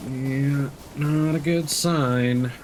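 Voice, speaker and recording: A man's voice: medium pitch, steady tone, extremely slow, darkish tone, a little hiss or hum. A man streaming a video game, casually commenting and talking back to the characters.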